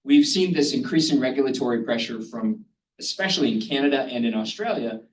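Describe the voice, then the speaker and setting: A man's voice: mid-range voice, expressive, fairly quick. A man speaks on a panel in a loose, conversational way, full of "um"s and "kind of"s. His voice is picked up from some distance and rings in a roomy hall, with a faint layer of noise underneath. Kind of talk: panel discussion talk.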